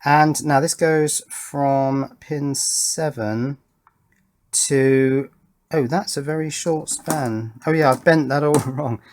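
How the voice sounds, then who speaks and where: A man's voice: on the high side, steady tone, takes it slowly, a little mellow. A man in his fifties with an English accent, giving casual, rambling commentary as he works, hesitating and questioning himself as he thinks aloud. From England. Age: 50s. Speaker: electronics hobbyist and video maker.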